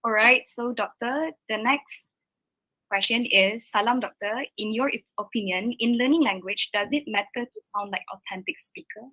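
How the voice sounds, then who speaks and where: Bright-voiced woman reading questions. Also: fairly high pitch, poor audio. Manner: reads questions aloud in a measured way. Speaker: moderator.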